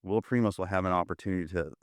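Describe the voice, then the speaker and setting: A man's voice: deep voice, racing, clear, resonant tone, dry recording, studio quality. A man hosting an interview, talking casually and thoughtfully with searching restarts. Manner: thoughtful, searching, with repeated starts. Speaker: podcast host, interviewer.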